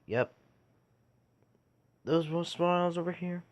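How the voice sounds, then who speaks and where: Slow-talking man giving a tutorial. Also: a little high. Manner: halting, pausing between short phrases. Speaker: tutorial video creator. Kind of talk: casual screen-recorded how-to tutorial.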